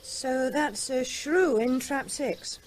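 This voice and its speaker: An actress playing a vet's playful grown daughter in a vintage radio drama, young and animated. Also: female voice, sits high, takes it slowly. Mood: lively. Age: young adult. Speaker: Tess, the daughter.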